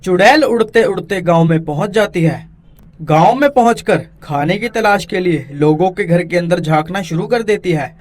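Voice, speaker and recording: A man narrating a scripted animated story for children as its storyteller. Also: sits high, normal speed.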